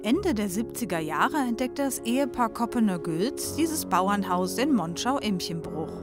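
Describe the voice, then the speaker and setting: A woman narrating a news report as a measured scripted voice-over. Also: medium pitch, sing-song, music in the background.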